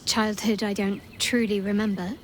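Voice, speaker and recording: A woman's voice: medium pitch, little pitch movement, moderate pace, slight background noise, natural voice. A woman playing a guarded young cleric in a video game, talking in a wry, reflective way. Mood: wistful. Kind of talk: naturalistic video game character acting.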